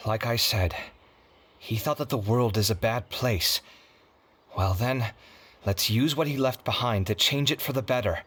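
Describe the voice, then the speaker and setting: A man's voice: mid-range voice, measured pace, a bit bright, slightly rough. Earnest, proud young male scholar. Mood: solemn, resolute. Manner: earnest, dramatic. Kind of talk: English video game voice acting. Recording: background music under the voice.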